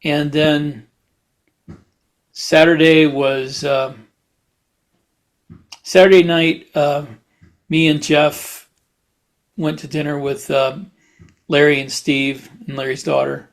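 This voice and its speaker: A man with a fairly high, gravelly voice talks casually on a podcast. He rambles in a halting way, often doubling back on his words as he goes. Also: little pitch movement. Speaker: podcast host, writer. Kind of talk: casual chat.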